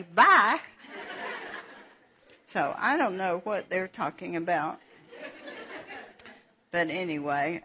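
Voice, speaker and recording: A woman's voice: on the low side, bright, forceful tone, slight background noise. A middle-aged woman with a Southern Georgia accent, teaching a Bible session in a folksy, chatty way and laughing at herself as she tells stories. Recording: dull lo-fi recording.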